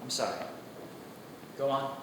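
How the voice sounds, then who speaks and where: A man's voice: measured pace, fairly bright tone, lightly acted. An actor playing an awkward man in love who stammers and hesitates, heard distant in a roomy echo with background noise. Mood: hesitant. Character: awkward man in love, writer of her program. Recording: distant, echoey, noisy.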